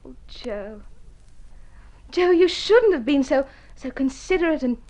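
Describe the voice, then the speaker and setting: Devoted young wife in an old radio play, high lively voice, gushing and exclaiming. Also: female voice, measured pace. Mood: indignant. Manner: theatrical, expressive, exclamatory. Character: devoted young wife, struggling music student, proud and excitable. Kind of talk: mid-century radio drama acting.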